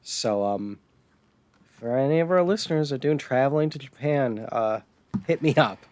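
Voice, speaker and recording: Man, American accent, mid-pitched, casual podcast host, hesitant phrasing, dry recording. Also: normal speed, darkish tone, dry recording. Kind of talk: casual podcast chat about retro gaming.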